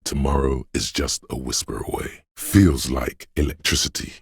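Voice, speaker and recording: An extremely deep, raspy voice of an omniscient city spirit in a video game. It narrates with solemn, ominous poetry, measured and brooding. The recording is close and dry. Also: male voice, fairly even intonation, no echo, exaggerated voice. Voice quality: very deep, gravelly, husky. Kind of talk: slow, poetic game narration in the second person.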